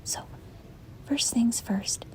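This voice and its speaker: A woman playing a reluctant, apologetic sleep paralysis demon in a soft, hesitant, breathy ASMR whisper. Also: drawn-out words, low-quality recording. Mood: gentle, apologetic. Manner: hesitant, rambling, soft-spoken. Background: rain and wind.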